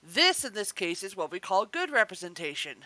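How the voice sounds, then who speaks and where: A woman's voice: medium pitch, very animated, moderate pace, sharp tone, no echo. A woman chatting casually on a panel in an emphatic, opinionated way. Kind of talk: casual chat.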